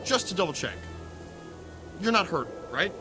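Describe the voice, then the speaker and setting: A man's voice: sits high, very animated, music in the background. An actor playing a nervous, tipsy male driver who stammers and halts as he talks. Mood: dazed, amazed. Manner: halting, stammering. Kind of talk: acted audio drama dialogue.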